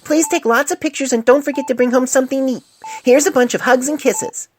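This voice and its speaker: A woman's voice: high voice, fairly even intonation, a little fast, pitched above the performer's own voice, natural voice. A woman voicing an affectionate, earnest little pony foal with childish, simple phrasing in a fan fiction story. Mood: eager, loving. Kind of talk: fan fiction audio story, letter read aloud. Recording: noisy amateur cell phone recording.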